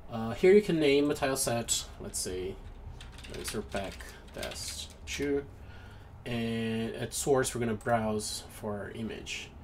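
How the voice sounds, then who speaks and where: A man's voice: mid-range voice, lively intonation, slow. Brazilian guy doing a screen tutorial at home, lots of ums, kinda apologetic, little bit of noise in the back. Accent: Brazilian Portuguese. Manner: hesitant, many ums and restarts, apologetic. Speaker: tutorial maker. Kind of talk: screen-recorded software tutorial. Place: home room with noisy neighbors.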